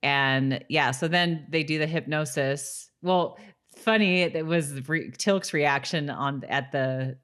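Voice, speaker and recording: A woman's voice: low voice, slow pace, hardly any pauses, a bit bright, smooth. A woman co-hosting a podcast in a casual chat about a TV episode, often laughing as she talks. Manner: giggly, laughs often while talking. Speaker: podcast co-host.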